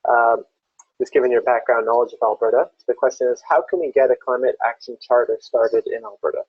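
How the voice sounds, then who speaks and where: A man's voice: mid-range voice, fairly even intonation, brisk, husky, no room sound. A man moderating a webinar, briefly and politely reading out viewer questions. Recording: dull lo-fi webinar audio.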